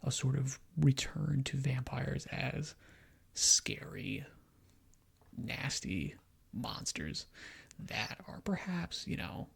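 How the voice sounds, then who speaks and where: Male ASMR gaming host, extremely raspy and creaky, half-whispered, rambling opinion delivery. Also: medium pitch, lively intonation, slow pace. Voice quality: breathy, husky half-whisper. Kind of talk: ASMR, soft-spoken gaming commentary.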